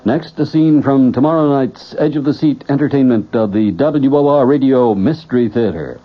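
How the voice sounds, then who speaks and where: A man's voice: mid-range voice, moderate pace. A man reading scripted station announcements as a radio announcer. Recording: muffled old radio broadcast.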